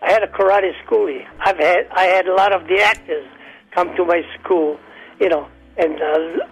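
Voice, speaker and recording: Hoarse older man, husky and high. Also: steady tone, soft background music, rough recording. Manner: rambling, halting with many restarts. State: hoarse, weakened voice. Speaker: interview guest, entertainer. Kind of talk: casual interview chat.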